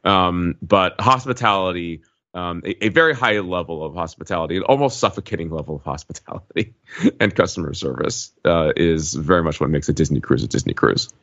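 A man chatting casually as a podcast co-host, halting and hedging, often wandering into asides. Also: sits low, fairly bright tone. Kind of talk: casual chat. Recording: slightly dull, lo-fi audio.

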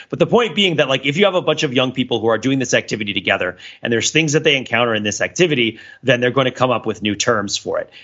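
A man's voice: fairly low pitch, bright, forceful tone, lo-fi sound. A middle-aged man co-hosting a podcast, talking through ideas in a casual, rambling, analytical way and often checking in with "right?". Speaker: podcast co-host.

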